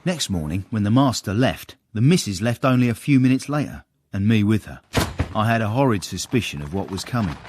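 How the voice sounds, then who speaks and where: Animated, wry British manservant, played by a man. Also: lowish voice, a little fast, slight background noise, close to an everyday voice. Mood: dry storytelling. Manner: wry, confiding. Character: wry, discreet Victorian manservant telling his tale. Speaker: narrator. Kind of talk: scripted comic radio drama narration.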